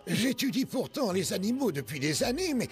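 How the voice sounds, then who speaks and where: A man playing a pompous, excitable scholarly animal expert in a cartoon, with a theatrical manner. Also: high-pitched, normal speed, faint music behind. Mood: amazed. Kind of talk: dubbed cartoon acting.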